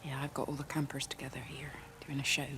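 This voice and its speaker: Low-voiced, down-to-earth village woman. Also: monotone, quick pace, bright, forceful tone, slight background noise. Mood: subdued, resolute. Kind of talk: naturalistic video game voice acting.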